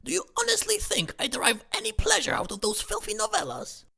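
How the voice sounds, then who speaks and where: A woman playing a pompous, sanctimonious elderly aunt in a comedy audio drama, husky and scandalised. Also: high-pitched, big pitch swings, fairly quick, sharp tone, full character voice. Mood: outraged. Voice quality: gravelly, husky. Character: indignant, pious elderly aunt, sanctimonious village busybody. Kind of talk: hobby comedy murder-mystery audio drama.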